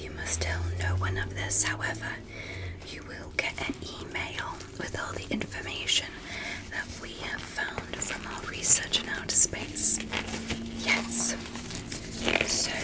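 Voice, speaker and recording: A woman playing a calm, curious alien doctor in a gentle, breathy whispered ASMR medical roleplay, with formal, reassuring manners. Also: extremely low pitch, measured pace, long pauses, rough recording. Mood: soothing, clinical.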